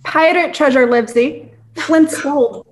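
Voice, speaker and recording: An excitable, hearty country squire acted by a young woman in a radio play. The voice is high. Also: measured pace. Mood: excited. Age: young adult. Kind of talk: amateur radio play acting.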